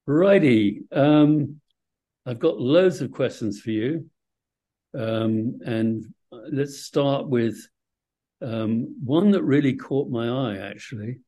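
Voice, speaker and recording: A man's voice: mid-range voice, slow, dark tone. A man hosting a conversational interview, chatty and enthusiastic in his manner. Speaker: interview host.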